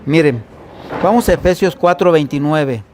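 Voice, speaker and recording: A man's voice: fairly high pitch, animated, moderate pace, a little mellow, a little hiss or hum. A man from the Veracruz coast preaching as pastor in an informal Bible-study sermon, with a faint Veracruz accent, often asking the congregation questions. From Veracruz coast, Mexico.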